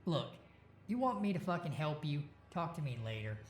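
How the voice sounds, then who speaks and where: A man voicing a brash, cocky young man who talks loud and crude. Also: mid-range voice, unhurried, roomy sound, a little hiss or hum, natural voice. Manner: loud, swaggering, profane.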